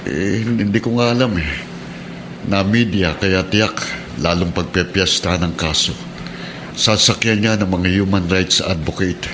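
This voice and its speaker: A man's voice: low voice, unhurried, noisy background. A man playing a troubled, earnest policeman husband with a Filipino accent in a radio drama.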